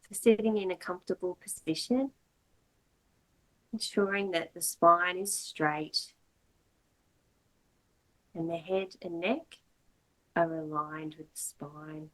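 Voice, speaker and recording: A woman yoga teacher giving slow, measured guided meditation instructions in short, gentle phrases with long pauses. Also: lowish voice, steady tone, low-quality recording.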